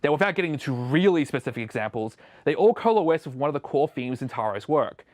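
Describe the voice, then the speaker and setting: A man's voice: on the high side, sing-song, fast talker, a little mellow, no echo. A man presenting a scripted opinion video essay as a show host, recorded as a close voice-over.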